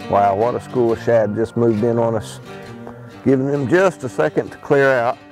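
A man's voice: medium pitch, steady tone, moderate pace, music in the background, poor audio. A middle-aged man, a fishing pro, talking casually on a boat on an open lake, explaining as he fishes with plenty of ums and restarts. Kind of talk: casual how-to fishing talk.

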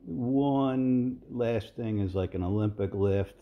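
A man in his sixties giving relaxed, rambling voice-over commentary as a fitness video host, with many fillers and restarts. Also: mid-range voice, takes it slowly, lo-fi sound. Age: 60s.

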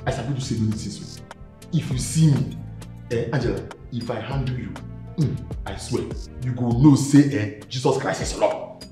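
A man's voice: mid-range voice, moderate pace, dark tone, natural voice. A man with a Nigerian accent playing a boastful, lovesick, ever-hungry house worker in a comic film, dramatic and full of repeated exclamations. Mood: pleading, boastful. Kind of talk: comic film acting in Pidgin. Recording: roomy echo, faint music behind.

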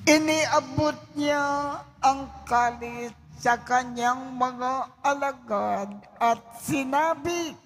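Very slow, solemn male priest. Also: extremely high pitch, a bit bright, a little hiss or hum. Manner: solemn, measured, deliberate phrasing. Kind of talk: reciting the Mass liturgy. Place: large church.

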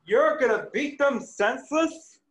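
Exasperated bar owner, high and slow, whiny and shouting, read by a man on a video call. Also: animated, full character voice. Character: easily annoyed male bar owner Steve, frantic. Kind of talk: amateur table read over video call. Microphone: laptop webcam mic on video call.

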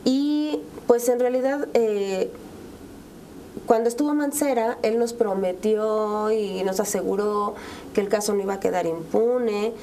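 Mellow-voiced Mexican woman being interviewed. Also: medium pitch, unhurried. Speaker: interview guest, relative of a victim. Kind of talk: interview answers, conversational. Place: dark TV studio, round table. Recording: clear background room noise.

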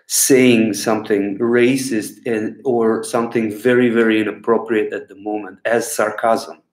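A man in his thirties from Bulgaria, speaking English with a Bulgarian accent, rambling and pausing to find words as he answers questions into a laptop webcam at home. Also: lowish voice. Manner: unhurried, rambling, pauses to search for words. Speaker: clown answering viewer questions. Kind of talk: casual talk to camera. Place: small room at home, indoors. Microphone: laptop webcam at desk. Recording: echoey room on a laptop mic.

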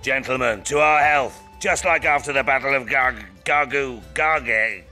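A boozy, boisterous soldier, in a fantasy game. He is crude and rowdy, with a sharp mid-pitched voice and faint music behind. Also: unhurried. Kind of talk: video game voice acting.

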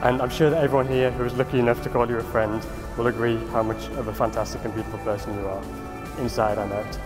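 A man's voice: medium pitch, little pitch movement, fast, in a large hall, music in the background. A man, the groom, giving a plain-spoken, affectionate wedding speech to his bride. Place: wedding reception venue.

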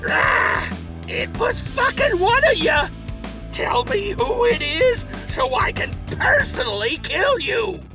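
A woman playing a hot-tempered criminal in a comic dub, yelling aggressively. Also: very high voice, sing-song, slow, loud music behind the voice, heavily acted. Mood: furious. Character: foul-mouthed, hot-tempered criminal getaway driver.

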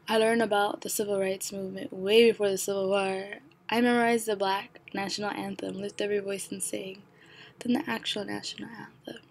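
A young woman from Somalia raised in the United States, with an American accent, reading her own story in a reflective, even voice with ironic asides, soft and partly whispered. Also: medium pitch, creaky, a little hiss or hum. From born in Somalia, raised in the United States. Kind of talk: read personal narration.

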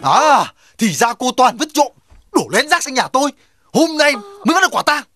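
High, swooping, grumpy cartoon animal neighbour. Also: female voice, very animated, normal speed. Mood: accusing, mocking. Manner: scolding, blustering. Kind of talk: cartoon voice acting. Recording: loud music behind the voice.

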